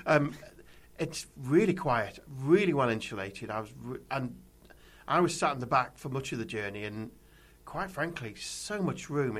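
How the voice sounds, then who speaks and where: A man hosting a podcast, asking questions and chatting in a relaxed, laughing way. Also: medium pitch. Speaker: podcast host. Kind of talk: casual podcast interview.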